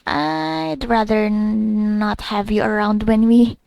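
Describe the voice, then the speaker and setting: Creaky-voiced woman gamer reacting casually. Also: mid-range voice, takes it slowly, a little mellow, no echo. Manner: reactive, musing aloud. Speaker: gamer playing a story quest. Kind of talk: casual gameplay commentary. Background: game music.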